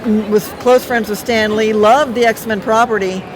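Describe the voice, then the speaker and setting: A woman's voice: fairly high pitch, steady tone, moderate pace. A middle-aged or older woman giving casual, emphatic and appreciative answers as an interview guest. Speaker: interview guest, TV writer and producer. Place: busy comic convention. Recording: noisy busy convention with crowd din.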